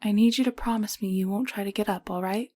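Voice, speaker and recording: A woman acting in an audio drama as a caring, gently firm caretaker who coaxes and reassures in a hushed way. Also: medium pitch, fairly even intonation, fast, natural voice. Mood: soothing. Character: caring, soothing woman named Allie, gently teasing and firm.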